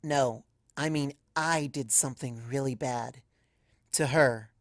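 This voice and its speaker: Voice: mid-range voice, slow pace, no room sound, clean studio sound. Anxious, flustered young unicorn mare acted by a narrator. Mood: uneasy, guilty. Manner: flustered, hesitant. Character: anxious, earnest young female magical unicorn pony.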